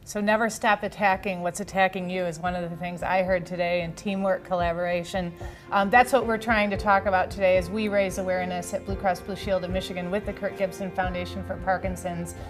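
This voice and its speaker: A woman's voice: fairly low pitch, steady tone, a little fast, soft background music. A woman hosting an interview for a health insurer, wrapping up in a polished, promotional way.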